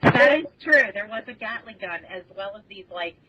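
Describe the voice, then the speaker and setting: A woman with a husky voice chatting casually as a podcast guest. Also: mid-range voice, lively intonation, normal speed, crowd noise. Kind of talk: casual chat. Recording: dull lo-fi podcast audio.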